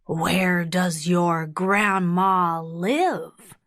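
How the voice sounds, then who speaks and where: A woman voicing a sly, hungry male big bad wolf in a storybook, with a slow, breathy, coaxing delivery. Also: on the low side, a bit bright, no echo, studio quality. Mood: sly, scheming.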